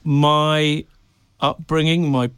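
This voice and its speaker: A man's voice: a little high, flat delivery, extremely slow, fairly bright tone, clean tone, dry recording. A man from Wales, chatting as a guest in an interview and telling stories with a laugh, recorded in an office. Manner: chatty, laughs often, storytelling. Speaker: guest, PR agency director. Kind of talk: casual interview chat.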